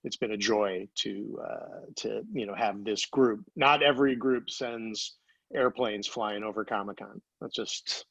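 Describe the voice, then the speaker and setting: A man's voice: fairly low pitch, a little slow, gravelly, a bit bright, slightly rough, no room sound. A man speaking casually as an interview guest and writer, with slightly dull audio. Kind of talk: casual conversational interview answers.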